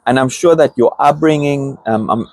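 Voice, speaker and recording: A man's voice: mid-range voice, moderate pace, a little mellow, dry recording. A man interviewing a new church team member, affirming and reflective, thinking aloud as he goes. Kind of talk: casual interview.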